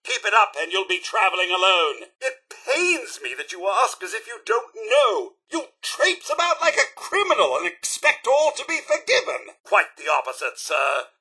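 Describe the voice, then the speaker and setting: A fussy, devoted robot butler from a video game, speaking with a British accent. The voice sits high and sweeps up and down theatrically, with a slightly rough edge. Every phrase is formal and primly articulated, in the manner of a deferential servant. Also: male voice, lively intonation, normal speed, few pauses, bright, forceful tone, heavily acted. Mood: stern, disappointed. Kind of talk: scripted video game companion dialogue.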